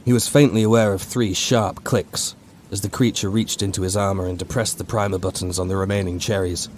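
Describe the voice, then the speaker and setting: Low-voiced man narrating horror. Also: level tone, brisk, background noise. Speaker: audiobook narrator.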